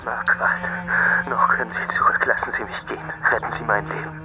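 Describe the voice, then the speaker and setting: An actor playing a captive police inspector in a German radio drama, urgent, pleading and defiant. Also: male voice, medium pitch, steady tone, normal speed, lightly acted, poor audio. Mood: hushed pleading. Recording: loud music under the voice.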